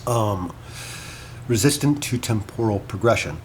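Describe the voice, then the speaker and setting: An actor playing a scholar who muses hesitantly over notes on an ancient myth in an audio drama, pausing between short phrases, with noise behind him. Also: male voice, on the low side, animated, darkish tone. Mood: fascinated, pensive. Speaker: scholar and commentator. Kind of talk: audio drama monologue as informal annotated commentary.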